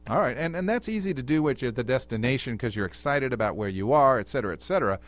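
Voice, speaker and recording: An upbeat man hosts a radio talk show, asking his guest direct questions at an ordinary middle pitch. He speaks clearly into a studio microphone. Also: expressive, rapid-fire. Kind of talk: radio interview hosting.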